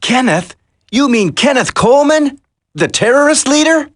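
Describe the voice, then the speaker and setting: A man's voice: very high voice, animated, normal speed, dry recording, clean studio sound. A man playing an earnest, determined secret agent hero in a dramatic video game, speaking emphatically. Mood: shocked, challenging.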